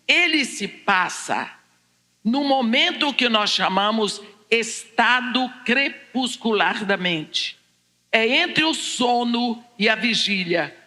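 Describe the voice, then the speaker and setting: An older Brazilian woman giving a personal testimony as a preacher, telling it deliberately and dramatically in short phrases. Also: a little high, bright, forceful tone. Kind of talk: personal testimony told to a congregation. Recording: echoing large hall, long reverb.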